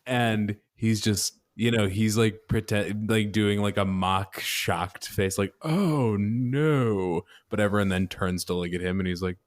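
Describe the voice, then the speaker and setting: A man's voice: fairly low pitch, unhurried, few pauses. A man playing a tabletop game, telling his character's moves casually and hesitantly as he pieces ideas together. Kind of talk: casual improvised storytelling.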